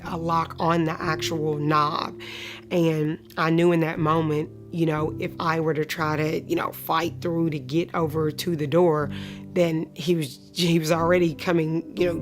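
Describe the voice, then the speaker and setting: A woman of thirty-five giving a personal testimony in an interview, speaking in a measured way with pauses. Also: low voice. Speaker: client of a shelter charity telling her story. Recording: music playing behind the voice. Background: instrumental music.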